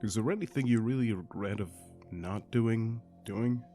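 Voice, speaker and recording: A man's voice: on the low side, normal speed, warm tone, lightly acted. A man playing a curious, earnest questioner in a scripted comic dub. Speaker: asker putting questions to the characters. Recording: music under the voice.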